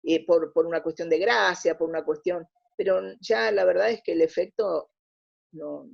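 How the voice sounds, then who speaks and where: A woman's voice: fairly low pitch, moderate pace, creaky, husky, lo-fi sound. An Argentine woman talking as an interview guest, explaining her opinions and often rephrasing mid-sentence. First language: Spanish. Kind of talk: conversational radio interview.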